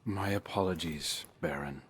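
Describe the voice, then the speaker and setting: Low, slow-spoken brooding hunter. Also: male voice, very animated, gravelly, fairly bright tone, slight background noise, full character voice. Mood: resentful, subdued. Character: brooding, guarded young male hunter serving a crime boss. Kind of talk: video game voice acting. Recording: faint music under the voice.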